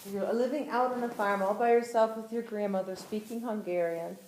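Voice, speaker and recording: A woman's voice: mid-range voice, fairly even intonation, brisk, darkish tone. A woman interviewing an older relative in a casual way, asking curious, prompting questions. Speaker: interviewer, family member. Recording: roomy home recording with faint noise.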